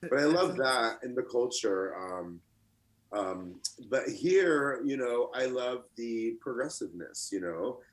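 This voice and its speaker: A man in his thirties from Lebanon, raised in the US, chatting casually as an art contestant with a relaxed, rambling delivery full of fillers. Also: mid-range voice, slow, low-quality recording. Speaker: artist contestant. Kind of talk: casual chat.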